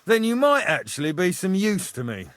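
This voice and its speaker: Brusque game blacksmith, high animated voice, dry. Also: male voice, normal speed, dry recording, full character voice. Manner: gruff, brusque. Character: proud, gruff male village blacksmith. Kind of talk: video game voice acting.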